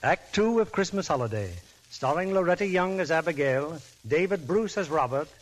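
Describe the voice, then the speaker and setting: A man narrating scenes for a 1940s radio drama in a crisp, formal, dramatic manner. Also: on the high side, animated, moderate pace, poor audio. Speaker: narrator and announcer. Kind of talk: scripted narration. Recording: narration over music bed, 1940s radio.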